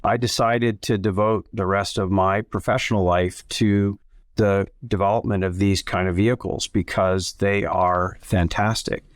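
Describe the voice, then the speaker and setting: Low-voiced man in his sixties. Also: steady tone, a little slow, no echo, studio quality. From Canada. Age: 60s. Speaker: interview guest, submarine company founder. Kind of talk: conversational personal storytelling.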